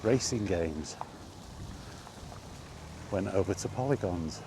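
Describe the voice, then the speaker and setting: A middle-aged man in a casual, rambling vlog chat, speaking conversationally with halting pauses while out walking. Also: low-pitched, animated, vocal fry. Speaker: gaming vlogger. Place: outdoors, walking. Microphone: microphone with wind guard. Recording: windy outdoor recording, background noise.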